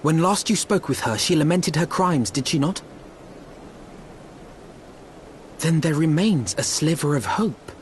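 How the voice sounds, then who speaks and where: An actor playing an earnest, courteous young diplomat in a fantasy game, with polite, eloquent, archaic speech. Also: male voice, fairly high pitch, moderate pace, a little hiss or hum, lightly acted. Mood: hopeful, earnest. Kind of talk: scripted fantasy game dialogue, archaic formal English.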